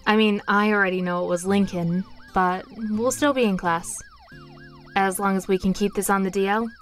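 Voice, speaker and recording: A loyal young schoolgirl witch, acted by a woman in an audio drama. The delivery is natural and steady, in a medium register. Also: medium pitch, normal speed, soft background music, lightly acted. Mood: casual.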